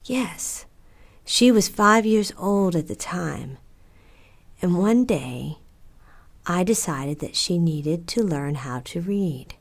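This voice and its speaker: A woman acts a strong-minded mother in an audio drama. She speaks slowly and earnestly, her pitch moving freely, with a medium-high everyday register. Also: expressive, heavily acted. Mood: calm, reminiscing.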